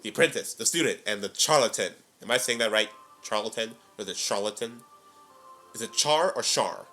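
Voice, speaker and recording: A man's voice: on the low side, expressive, fairly quick, pushed and bright, soft background music. A man hosting a reaction video in casual commentary, exclamatory and playfully theatrical.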